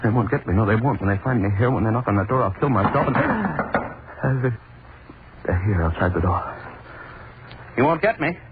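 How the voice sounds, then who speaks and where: A man's voice: medium pitch, big pitch swings, moderate pace, pauses often, phone-quality sound, lightly acted. A man playing a greedy, guilt-haunted hangman in an old radio drama, talking in feverish, driven narration. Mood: unhinged. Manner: feverish, rising to manic panic. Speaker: narrator and lead. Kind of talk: 1940s radio acting. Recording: scratchy, thin old radio broadcast.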